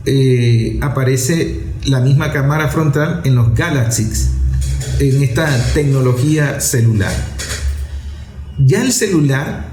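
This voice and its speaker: Measured, explanatory man, echoey room. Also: a little high, warm tone. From Venezuela. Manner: measured, explanatory, repeats phrases for emphasis. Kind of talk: reflective monologue on society.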